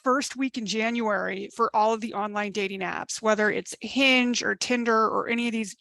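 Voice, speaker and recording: Energetic, chatty dating coach woman. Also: mid-range voice, moderate pace, vocal fry, no room sound. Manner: chatty, emphatic, acts out quoted complaints. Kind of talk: live webinar masterclass.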